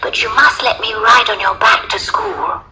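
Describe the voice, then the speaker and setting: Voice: mid-range voice, big pitch swings, moderate pace, bright, forceful tone, slight background noise, voice pushed up, exaggerated voice, rough recording. A storyteller voicing a small schoolgirl, timid yet plucky, with an earnest, plaintive way of talking.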